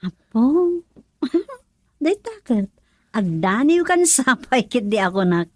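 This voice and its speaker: A woman playing a playful, tender young country woman in love in an Ilocano radio drama, expressive and teasing with an Ilocano accent. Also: a little high, slow pace, no room sound, heavily acted.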